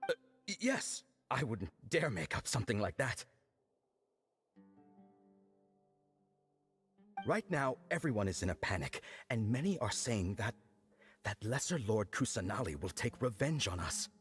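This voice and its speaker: Nervous guard in a video game, stammery, super polite, voice jumping up and down, faint music. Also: male voice, lowish voice, very animated, moderate pace, fairly bright tone. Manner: stammering, apologetic, formal. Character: timid, deferential male guard, devout and confused.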